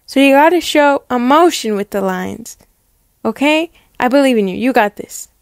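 A woman voicing an encouraging, theater-loving mother with a sweetly coaxing, upbeat manner for an animated story. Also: sits high, normal speed, no echo, clean studio sound. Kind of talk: animated roleplay story acting.

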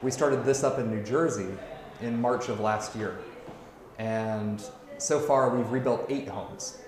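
A man's voice: mid-range voice, measured pace. A man speaking as a charity organizer in a promotional interview style. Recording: very echoey room with chatter behind.

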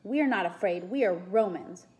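A woman's voice: mid-range voice, animated, moderate pace, dark tone, lightly acted. A woman voicing young Roman schoolboys, anxious but defiant, in a storybook reading. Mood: earnest, bold.

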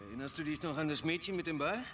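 An actor as a protective, anxious father in a radio play. His voice sits high for a man and he speaks with urgent, hesitant nerves. Outdoor ambience runs noisily behind him. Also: racing, lightly acted. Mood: grim, worried. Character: anxious, protective father dragged into vigilante violence. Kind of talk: audio drama acting. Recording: noisy background of outdoor ambience.

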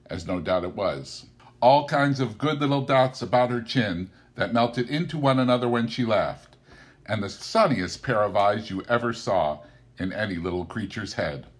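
A man reading a classic story aloud as an audiobook narrator, in an expressive, theatrical storytelling manner. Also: medium pitch. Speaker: audiobook reader.

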